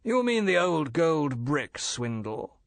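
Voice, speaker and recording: A man's voice: a little high, big pitch swings, slow, creaky, no echo, pitched above the performer's own voice, exaggerated voice. A man playing a British army major in an audiobook, outwardly breezy and inwardly uneasy, with clipped, old-fashioned officer speech. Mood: anxious.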